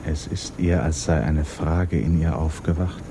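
An actor playing a calm, reflective storyteller, giving measured literary narration in a 1960s German radio drama. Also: male voice, extremely low pitch, creaky, heavily acted. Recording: noisy vintage radio play recording.

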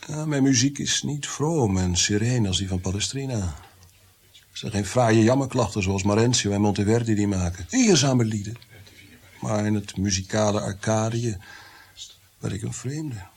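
An actor in a radio play playing a tormented, bitter, God-fearing prince-composer, brooding and theatrical, sometimes sinking to whispers. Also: male voice, on the low side, moderate pace, darkish tone, slightly rough. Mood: bitter, fervent.

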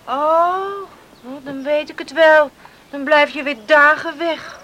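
A woman's voice: high voice, fairly even intonation, takes it slowly, a bit bright, poor audio. An actress playing a caring, inquisitive village girl in a Dutch radio play. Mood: fondly concerned.

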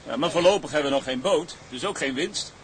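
Man acting a quick, sceptical young-sounding sailor. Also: fairly high pitch, a little hiss or hum, natural voice. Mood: cheeky. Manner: questioning, informal. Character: sceptical, cheeky working sailor among the crew. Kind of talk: Dutch radio drama acting.

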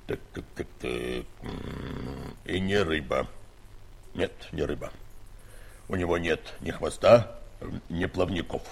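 An actor in a comic audio play playing a pompous, dismissive court scholar who mutters and repeats himself. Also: male voice, very deep voice, slow, gravelly, a little hiss or hum, full character voice. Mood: muttering, self-satisfied.

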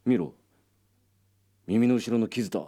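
A man's voice: mid-range voice, steady tone, measured pace, dark tone, lightly acted. An actor playing a brusque male police detective in a radio drama, speaking in a blunt, colloquial way. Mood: urgent, brusque.

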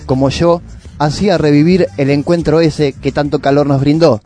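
A man's voice: a little high, mellow. A man with a Rioplatense Argentine accent presenting a radio literary segment, reading poetic prose and monologue in a reflective way.

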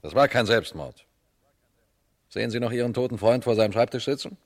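An actor playing a shrewd, unhurried police detective who narrates his case in a radio crime drama, deliberate and pointed. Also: mid-range voice, close to an everyday voice. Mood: insistent, accusing. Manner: deliberate, pointed, addresses listeners directly.

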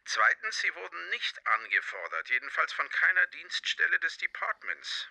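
A man's voice: fairly high pitch, wildly swooping pitch, moderate pace, creaky, pushed and bright, full character voice. A man playing a wry, streetwise police insider with a terse, dry delivery in a German radio drama. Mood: brisk, teasing.

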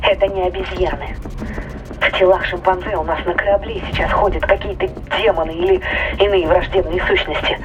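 An actress playing an alarmed but dutiful spaceship crew member in an audio drama, speaking gravely and emphatically through a radio filter. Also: female voice, fairly low pitch, steady tone, fairly quick, lo-fi sound, music in the background. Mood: grave, uneasy. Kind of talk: dramatic audio play acting.

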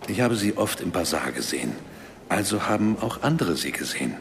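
Worried priest, gravelly, lively intonation, grave admonishing manner, radio drama, faint background noise. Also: male voice, low-pitched, fairly quick. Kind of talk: radio play dialogue.

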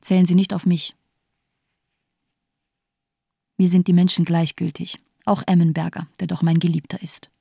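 A cold, cynical female doctor from a radio crime play. Her voice is low and dark, recorded close and dry. She declaims her bleak, nihilistic view of mankind with disdain. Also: level tone, brisk, gravelly, husky, no room sound. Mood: cold, mocking. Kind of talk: German radio crime drama monologue.

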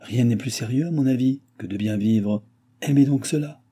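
A man's voice: mid-range voice, moderate pace, dark tone, breathy edge, pitched above the performer's own voice, lightly acted. A man voicing a cynical, proud old baron in an audiobook, ranting in sardonic exclamations. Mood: bitter. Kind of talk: audiobook character dialogue.

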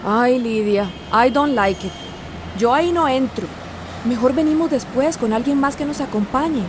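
An actress playing a cautious, worried friend in a radio drama. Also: female voice, sits high, measured pace, noisy background. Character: cautious, worried friend who mixes in English.

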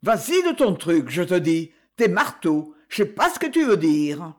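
Casting: Parisian underworld man, hard, mocking, curt slang, husky edge, storybook villainy read by a narrator. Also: mid-range voice, big pitch swings, a little slow.

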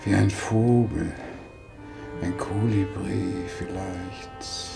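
A man's voice: low-pitched, steady tone, vocal fry, music in the background, heavily acted. An older man playing a wry, wistful elderly pensioner in a radio drama, musing with dry humour. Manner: slow, dryly humorous, musing.

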